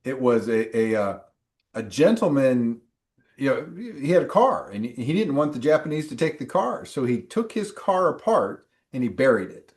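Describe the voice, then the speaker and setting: A man's voice: mid-range voice, sing-song, moderate pace, darkish tone. A male instructor chatting casually with students on a video call, rambling and full of ums and restarts. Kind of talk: casual chat with students in a live class.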